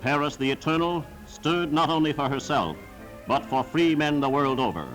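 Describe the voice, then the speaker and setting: A man's voice: a little high, normal speed, fairly bright tone, soft background music, low-quality recording. A man narrating a scripted 1940s wartime newsreel in a solemn, formal, declamatory style, on a vintage film soundtrack.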